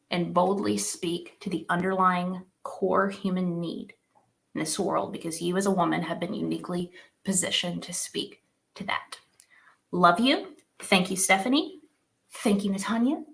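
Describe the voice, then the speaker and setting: A woman's voice: mid-range voice, gravelly, a bit bright. A woman giving an emphatic, encouraging motivational talk over a video call at a virtual summit. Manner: emphatic, encouraging, addresses listeners as friend. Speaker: summit speaker.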